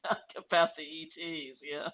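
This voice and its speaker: A woman hosting a phone interview, cutting in with short laughing reactions and quick questions. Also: low-pitched. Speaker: radio show host. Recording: tinny phone line with faint noise.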